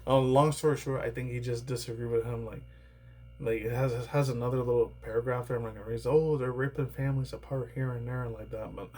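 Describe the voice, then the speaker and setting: A man rambling through casual news commentary, swearing often and laughing between remarks, his voice slightly raspy and tired. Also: medium pitch, fairly even intonation, fast, darkish tone. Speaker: commentary YouTuber.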